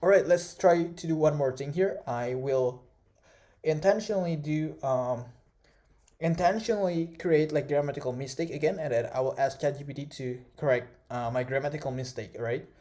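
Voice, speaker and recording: A man's voice: on the high side, moderate pace, mellow. A young man whose first language is Indonesian, teaching an online course over a screen recording in a casual way, full of fillers and "right?" checks. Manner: casual, many fillers and tag questions.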